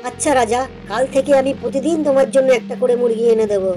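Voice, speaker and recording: A woman voicing a clever, friendly male goat with a coaxing way of talking in an animated folk tale for children. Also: a little high, fast, soft background music, close to an everyday voice. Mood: pleading. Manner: coaxing, pleading.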